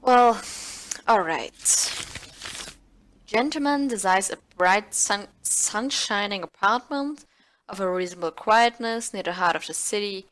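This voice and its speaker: A woman's voice: mid-range voice, expressive, unhurried, a bit bright, poor audio. A woman playing a blunt, sarcastic rental agency clerk in an audio play, dry and offhand. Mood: dry, bored.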